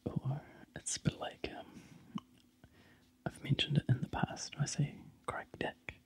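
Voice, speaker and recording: Slow whispering New Zealand man. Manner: soft slow whisper with frequent ums. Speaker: ASMR whisperer. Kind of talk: ASMR whispering.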